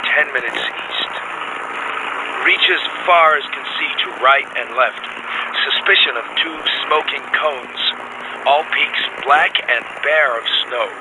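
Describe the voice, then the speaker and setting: An actor playing an excited polar expedition scientist, sending clipped, telegraphic reports over a crackly radio in a drama. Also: male voice, on the high side. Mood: awed, urgent. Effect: crackly radio transmission.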